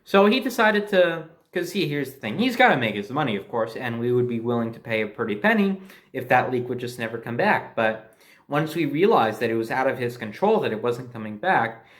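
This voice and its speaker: A man's voice: medium pitch, big pitch swings, brisk, a bit bright. An American man telling a personal anecdote in a casual, conversational and emphatic way, as a storyteller. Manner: conversational, emphatic, mildly profane.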